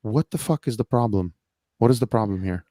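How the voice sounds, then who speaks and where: A man's voice: fairly low pitch, lively intonation, brisk, dark tone, no echo, clean studio sound. A man in a casual podcast chat, hesitant with many fillers, turning indignant. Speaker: podcast guest.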